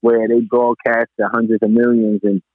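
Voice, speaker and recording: A man's voice: medium pitch, little pitch movement, moderate pace, no echo. A man talking casually about music as a podcast guest, repeating phrases for emphasis, over a tinny connection. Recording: tinny, phone-like radio sound.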